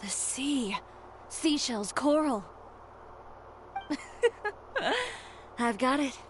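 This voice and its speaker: A woman's voice: high-pitched, extremely slow, slight background noise. An actress playing a proud, blunt young woman fashion designer in a video game, self-assured and dry in manner. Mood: determined.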